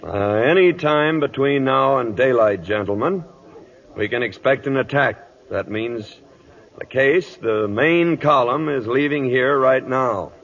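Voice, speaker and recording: An older cavalry captain in a golden-age radio drama. He is gruff, proud and duty-bound, with a middling pitch and blunt military address, on a muffled vintage broadcast recording. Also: a little slow, a bit bright. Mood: commanding. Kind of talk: 1940s-50s radio drama acting.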